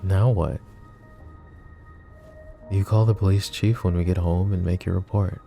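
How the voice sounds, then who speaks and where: Brief: doting, dangerous mafia boss, gravelly close-mic murmur, soft music faint behind. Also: male voice, sits low, measured pace. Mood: scheming. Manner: calm, controlled, coolly threatening and doting. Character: possessive, menacing male mafia boss. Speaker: voice actor. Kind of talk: roleplay ASMR audio drama. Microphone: close ASMR microphone.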